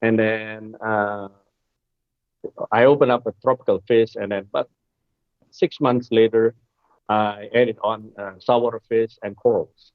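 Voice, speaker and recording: A man, answering interview questions in a hesitant way with many filler pauses, heard over a remote call. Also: lowish voice, fairly even intonation, no room sound. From Indonesia. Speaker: guest, coral wholesaler. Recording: dry, tinny phone-like line.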